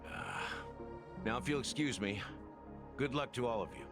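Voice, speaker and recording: A man's voice: mid-range voice, moderate pace, over music, close to an everyday voice. An actor playing a weary, bitter older officer in a video game, brooding and self-deprecating as he tells his story. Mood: wry, resigned.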